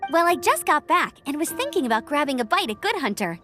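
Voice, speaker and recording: A woman voicing a cheerful, energetic young girl scout with a friendly, upbeat way of talking. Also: high-pitched, fast, exaggerated voice. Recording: game cutscene with faint music.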